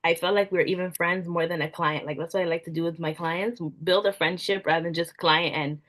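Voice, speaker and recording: Young woman with a low, easy voice. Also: fairly even intonation, a little fast. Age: young adult. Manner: soft-spoken, frequent "like" fillers. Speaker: event planner guest. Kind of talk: casual interview answers.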